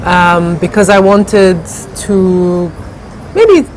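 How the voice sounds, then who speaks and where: A woman's voice: lowish voice, animated, extremely slow. A middle-aged woman from Ghana, answering interview questions in a thoughtful, hesitant way with many fillers. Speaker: interview guest, café co-founder. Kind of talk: conversational interview. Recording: noisy background.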